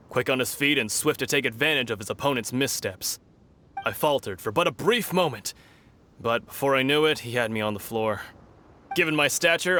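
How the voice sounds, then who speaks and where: A man's voice: mid-range voice, sharp tone. An actor playing a big, courtly male fighter who speaks in a formal, polite, slightly theatrical way.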